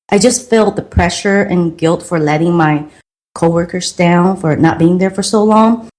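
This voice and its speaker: A woman's voice: on the low side, a little mellow. A middle-aged woman from Taiwan with a Taiwanese accent, telling her life story as a podcast guest in an earnest, reflective way. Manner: earnest, reflective, slow and careful. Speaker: guest, marketing consultant.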